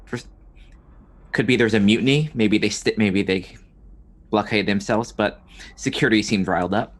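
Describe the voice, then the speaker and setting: Low-voiced man in a roleplaying game. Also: moderate pace. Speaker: tabletop roleplaying player. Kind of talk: in-character game discussion.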